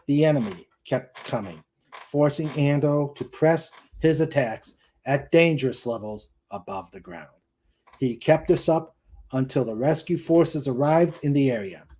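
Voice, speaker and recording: A man narrating a science fiction war novel in a deliberate read-aloud delivery, with small stumbles here and there. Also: medium pitch, warm tone. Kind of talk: dramatic reading of a sci-fi war novel.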